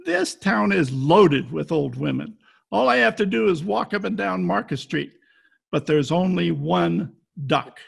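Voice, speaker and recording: Male actor as hardboiled radio detective: husky, heavy fry, clipped slangy delivery, wisecracking. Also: sits high, expressive, a little slow, heavily acted. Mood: brusque, cool. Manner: clipped slangy tough talk. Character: tough, wisecracking hardboiled private detective, adult man. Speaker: amateur radio play actor. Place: at home, recorded over video call.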